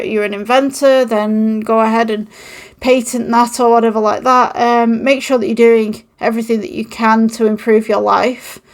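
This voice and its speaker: Rambling woman with a Manchester-tinged accent. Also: fairly high pitch. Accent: mixed northern English, Manchester-tinged. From United Kingdom. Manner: rambling and encouraging, many ums. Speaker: channel host. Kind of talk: casual podcast-style talk to camera. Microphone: old microphone with phone recording.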